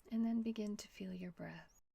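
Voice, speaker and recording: A woman leading a guided meditation in a soft, whispery, gentle voice with long pauses. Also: medium pitch, creaky, husky, no echo.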